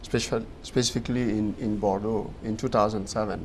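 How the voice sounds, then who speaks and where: A man in his thirties from Guinea, a native French speaker, answering interview questions in an earnest, measured way. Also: lowish voice, fairly even intonation, slight background noise. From Guinea, West Africa. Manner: earnest, measured, laughs easily. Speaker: guest.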